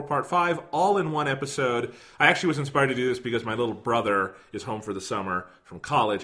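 Slightly hoarse man chatting on a podcast. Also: mid-range voice, pushed and bright. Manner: rambling, self-deprecating, many fillers. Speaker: podcast co-host. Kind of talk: casual podcast chat.

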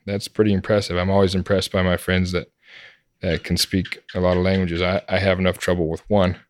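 A man's voice: deep voice, level tone, fairly quick. A man hosting a podcast interview, friendly and prompting, often repeating the guest's words back. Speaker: podcast host. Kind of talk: casual interview chat.

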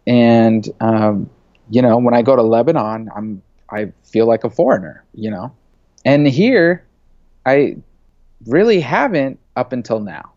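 A man's voice: mid-range voice, animated, a little slow, darkish tone, dry recording, muffled recording. A man in his thirties, born in Saudi Arabia and raised in the US, speaks as a guest in a casual interview. He talks loosely and leans on "you know" and "like" a lot. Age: 30s. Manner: loose, filler-heavy talk with many "you know"s and "like"s. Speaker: interview guest, media creative.

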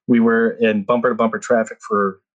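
A man's voice: fairly low pitch, level tone, fairly quick, lo-fi sound. A man in his thirties from the Philippines, telling stories in a casual chat with frequent restarts. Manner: rambling storyteller with frequent restarts and laughs. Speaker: podcast guest.

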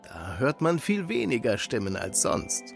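A friendly, handy uncle who tells stories to children in an audio play. His medium-pitched voice moves in lively swings, with faint music. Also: unhurried, gravelly. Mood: storytelling. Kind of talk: children's audio drama acting.

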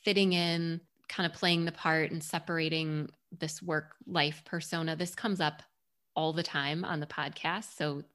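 Female podcast host, low register, reflective and articulate, conversational interviewing. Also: fairly even intonation, measured pace, a bit bright.

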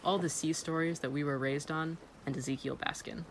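A woman reads scripted credits as one of the show's writers. Her pitch is very low for a woman. A faint noise sits behind the voice. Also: fairly even intonation, fairly quick, low-quality recording. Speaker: co-writer and presenter of the show. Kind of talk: scripted podcast closing credits.

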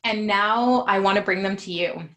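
A young woman hosting a casual podcast interview, talking warmly with frequent laughs and fillers. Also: medium pitch, normal speed. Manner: warm, laughs often, many fillers. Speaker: podcast host.

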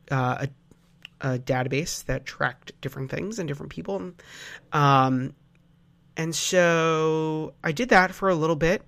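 A man's voice: fairly high pitch, gravelly. Nasal young man with a cold. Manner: relaxed, rambling, many fillers and restarts. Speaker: podcast host. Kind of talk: casual solo podcast talk.

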